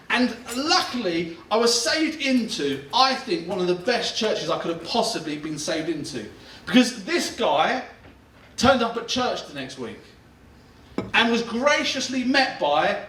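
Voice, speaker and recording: A man's voice: high-pitched, a bit bright, reverberant, recorded from a distance, a little hiss or hum, low-quality recording. A man in his thirties, a pastor giving a chatty, self-deprecating sermon and laughing as he talks. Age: 30s. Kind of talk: conversational sermon. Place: church auditorium.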